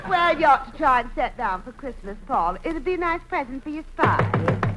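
A woman's voice: high voice, sing-song, normal speed, loud music behind the voice. A woman playing a wary, scolding colonial housewife in an old-time radio comedy. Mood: nagging, wary. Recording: noisy vintage radio broadcast recording.

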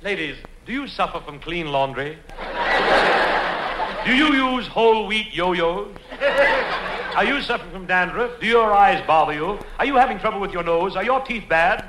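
A man playing a mock-earnest radio pitchman, putting insistent questions in a parody commercial. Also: expressive, normal speed. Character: mock radio pitchman selling absurd remedies and products. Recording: noisy, echoey old live comedy recording.

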